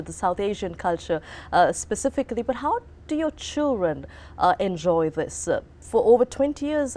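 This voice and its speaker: A woman interviewing a guest on TV, asking measured questions with many fillers. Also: mid-range voice, darkish tone.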